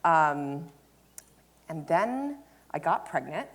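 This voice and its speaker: A woman's voice: low-pitched, very animated, takes it slowly. A woman in her thirties, a startup founder on a panel, talking candidly and informally about herself. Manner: informal, peppered with "like" and self-quotation. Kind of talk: candid conversational storytelling on stage. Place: indoor event stage before an audience. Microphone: lapel mic.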